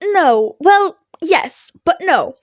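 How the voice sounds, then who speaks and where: Small creature headmaster, played by a female reader: high-pitched, courteous, slyly coaxing, cheerful, dry close storybook sound. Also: big pitch swings, very slow, no echo, highs cut off, heavily acted. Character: small cheerful animal school principal, sly and polite. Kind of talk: fan fiction audio reading.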